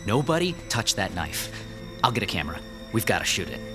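Brash cameraman, wildly animated. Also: fairly low pitch, fairly quick. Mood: excited. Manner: slangy, sarcastic, blunt. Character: brash, sceptical, excitable male TV cameraman. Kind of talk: radio drama acting. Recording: music behind the voice.

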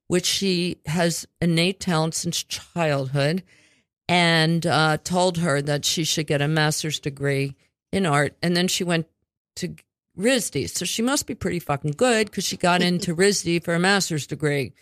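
A woman's voice: fairly low pitch, unhurried, dry recording, clean studio sound. Chatty rambling woman radio host. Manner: rambling, chatty, swears casually. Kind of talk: casual radio interview. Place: community radio studio.

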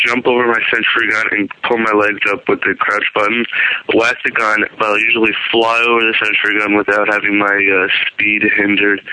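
Very bright male voice, low pitch, casual caller, rambling conversational gameplay advice. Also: clear, resonant tone, no echo, low-quality recording.

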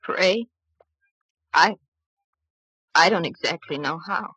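A doomed, guilt-stricken young woman in a vintage radio play. Her voice is on the low side and very husky, with a hard, tense edge. She speaks haltingly and with intensity. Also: pushed and bright, no room sound, full character voice, rough recording. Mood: dazed, halting. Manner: halting, intense, self-reproaching. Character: guilt-ridden, doomed young woman blaming herself for a curse. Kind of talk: old-time radio drama acting.

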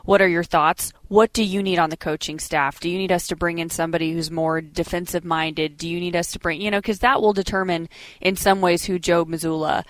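A woman with a somewhat low voice, recorded dry and close. She speaks as a sports radio host, moving between commentary and sponsor reads. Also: steady tone, brisk, no room sound.

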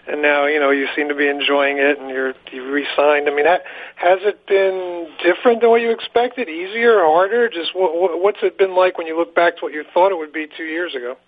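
A man's voice: on the high side, fairly even intonation, fairly quick. A man working as a newspaper sports reporter, asking an informal question on a press call. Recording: band-limited conference phone line.

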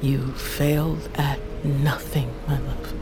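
A woman's voice: extremely low pitch, flat delivery. Proud old grandmother, fantasy poison master, grave commanding delivery, audio fiction, prominent music underneath. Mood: solemn, consoling. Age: older. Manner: solemn, deliberate, commanding. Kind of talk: audio fiction drama acting. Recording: loud music behind the voice.

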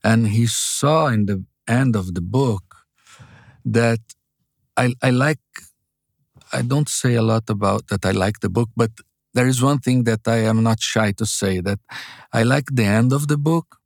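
An older man with a Brazilian accent, a guest author speaking haltingly and searching for words in an interview. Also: medium pitch, vocal fry, dry recording, clean studio sound. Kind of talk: interview conversation.

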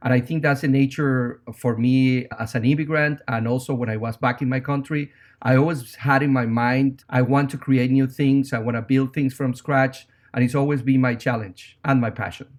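A man's voice: mid-range voice, steady tone, moderate pace. A man from Venezuela with a Venezuelan Spanish accent, a native Spanish speaker, talking earnestly and reflectively as an interview guest.

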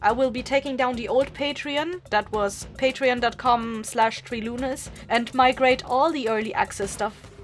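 A woman's voice: fairly high pitch, steady tone, moderate pace, faint music behind. A woman hosting a podcast, giving announcements to listeners in a chatty, informal, upbeat way. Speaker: podcast creator and host. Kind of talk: casual scripted announcement to listeners.

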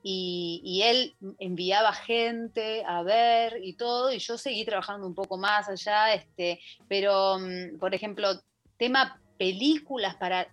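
A woman's voice: mid-range voice, unhurried, a bit bright. Argentine woman, chatty radio guest. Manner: chatty, many fillers and restarts. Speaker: guest, musical theatre actress. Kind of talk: casual radio interview. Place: radio studio.

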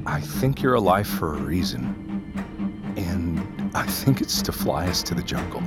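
A man's voice: low voice, very animated, measured pace, exaggerated voice. An actor playing a sleepless, desperate man who insistently and earnestly pleads his case in an audio drama. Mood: urgent. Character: desperate, sleepless man urgently convinced by prophetic dreams. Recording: loud music under the voice.

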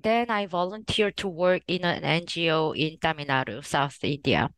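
A woman's voice: deep voice, moderate pace, a bit bright, smooth, no room sound. A woman in her forties from Korea, a native Korean speaker, telling her story thoughtfully as a guest author with light laughs. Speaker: guest author and research professor. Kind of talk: podcast interview.

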